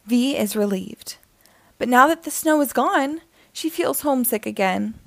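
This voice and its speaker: Children's storyteller, female: higher pitch, unhurried, deliberate delivery with vocal fry. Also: animated, fairly bright tone, no echo. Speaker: storyteller reading picture books aloud. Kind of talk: children's read-aloud narration.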